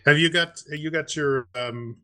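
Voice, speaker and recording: A man's voice: a little high, measured pace, pushed and bright. A man co-hosting a casual podcast, chatting with a wry, questioning manner. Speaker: podcast co-host. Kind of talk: casual conversational podcast chat.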